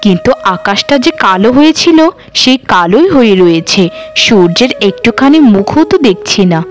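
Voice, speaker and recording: A woman's voice: mid-range voice, expressive, normal speed, soft background music, natural voice. Woman playing a careworn, plaintive village mother. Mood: foreboding. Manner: plaintive, lamenting. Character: careworn elder village wife, worried struggling mother. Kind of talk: melodramatic cartoon dialogue.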